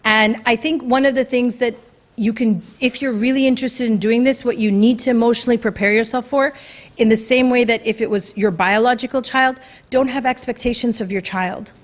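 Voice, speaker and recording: A woman's voice: a little high, steady tone, brisk. Earnest woman telling her story. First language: English. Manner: earnest, conversational, many fillers and restarts. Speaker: speaker at a community talk night. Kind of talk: personal story talk. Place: event venue before an audience.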